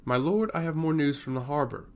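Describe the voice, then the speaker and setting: A man's voice: a little high, animated, a little fast, a little mellow. A man voicing a stoic, elderly female royal advisor in a fantasy story, formal and deferential. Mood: formal, grave.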